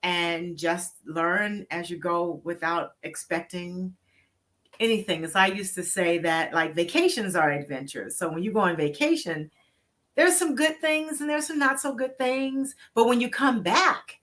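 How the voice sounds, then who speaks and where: A woman's voice: on the low side, measured pace, fairly bright tone. A woman hosting an interview podcast, upbeat and encouraging as she introduces and questions her guest. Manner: upbeat, encouraging, laughs easily. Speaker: podcast host.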